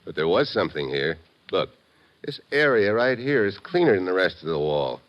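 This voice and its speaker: An actor playing a tough, wry American secret agent, hard-boiled and slangy, in 1950s radio drama style. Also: male voice, low voice, sing-song, normal speed, muffled recording. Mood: alert, curious.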